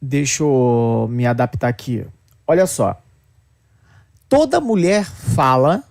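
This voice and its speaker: Blunt, lecturing man with a gravelly voice. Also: on the high side, a little mellow. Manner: blunt, lecturing, mocking with put-on quotes. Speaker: podcast commentator. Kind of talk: opinionated podcast talk.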